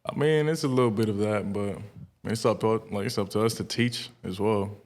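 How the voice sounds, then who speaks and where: A man's voice: lowish voice, fairly quick, darkish tone. A man in his late twenties, raised in the United States, chatting casually as a podcast guest in a studio. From United States, born in Ethiopia to a South Sudanese family. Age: late 20s. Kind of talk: casual conversational interview.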